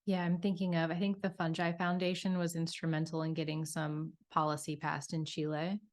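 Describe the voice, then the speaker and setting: A woman's voice: low voice, flat delivery, a little fast. A woman hosting a podcast, chatting casually and with enthusiasm, her talk full of "like". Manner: casual and enthusiastic, heavy use of "like". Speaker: podcast host.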